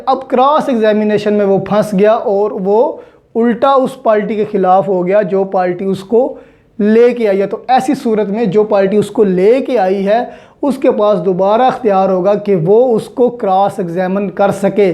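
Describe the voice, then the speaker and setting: A woman with a Pakistani accent delivers an explanatory lecture at a measured, slow pace. Her tone is mellow and relaxed, at a middling pitch. She is didactic, dropping in English terms and repeating what matters. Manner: didactic, repeats and rephrases key points. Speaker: law teacher.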